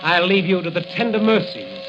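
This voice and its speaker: An actor playing a righteous crime-fighting hero in a declamatory, commanding 1940s radio style. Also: male voice, high voice, very animated, normal speed, over music. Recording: hissy, dull 1940 radio recording.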